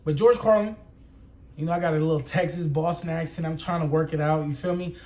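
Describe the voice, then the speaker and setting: Hyped young reaction-channel host. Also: male voice, a little high, steady tone, a little fast, a little mellow. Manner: rambling, profane, hyped street slang. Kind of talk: casual vlog intro.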